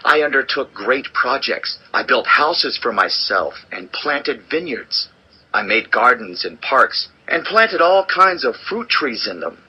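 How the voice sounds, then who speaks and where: A man's voice: mid-range voice, bright, forceful tone, husky, heavily acted, low-quality recording. An actor playing a world-weary, wise old king in a solemn, theatrical dramatized scripture reading. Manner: solemn, deliberate, theatrical delivery. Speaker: audio Bible narrator and actor.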